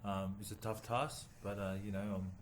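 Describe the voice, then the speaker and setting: A man from New Zealand, a boxer, answering interview questions haltingly with many pauses and fillers. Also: on the low side, level tone, a little hiss or hum. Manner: halting, many ums and uhs, soft-spoken. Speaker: boxer and former rugby league player, guest. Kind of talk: casual interview.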